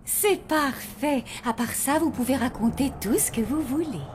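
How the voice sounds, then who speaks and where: A woman voicing an anxious, fussy zombie mother in a French animated series, fretful and dramatic, with music behind. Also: high-pitched, normal speed, background noise. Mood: flustered, insistent.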